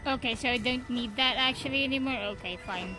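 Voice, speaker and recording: A woman doing casual, playful gameplay commentary with exclamations, while game music plays loudly behind her. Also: high-pitched, fast talker. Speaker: video game streamer.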